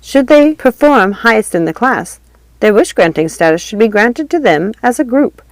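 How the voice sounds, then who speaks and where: A woman's voice: fairly high pitch, animated, moderate pace, dry recording, pitched above the performer's own voice, natural voice. A stern elder fairy teacher, as a woman reader does her, argues in a firm, insistent way. Her voice is raised and the sound is dry and close. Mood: objecting. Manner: firm, argumentative.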